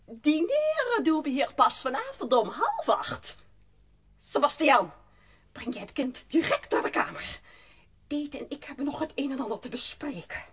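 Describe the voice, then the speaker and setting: An actress playing a strict, stiff governess in an audio drama, with a stern, haughty, commanding manner. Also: female voice, high-pitched, sing-song, normal speed. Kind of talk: Dutch audio drama acting.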